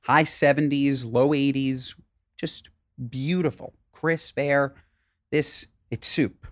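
A man co-hosting a podcast in casual chat, playfully dramatic and animated. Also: medium pitch, takes it slowly, no room sound. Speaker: podcast co-host.

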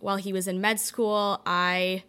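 A woman's voice: medium pitch, level tone, sharp tone, smooth. Chatty young Canadian woman. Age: young adult. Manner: chatty, quick, lots of likes and ums. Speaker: guest. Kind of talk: casual podcast chat. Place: indoor studio in a building.

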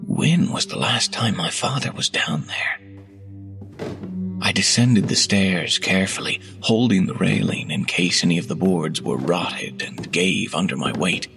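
A man reading a horror story aloud in a measured, hushed delivery that drops to whispers, with faint music behind. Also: sits low, creaky. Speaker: narrator. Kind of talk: scripted horror narration.

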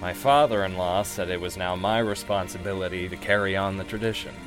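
A man playing a hesitant, guilt-ridden widowed husband confiding in an audio drama, with halting delivery. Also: sits low, close to an everyday voice. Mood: wistful, sad. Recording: background music under the voice.

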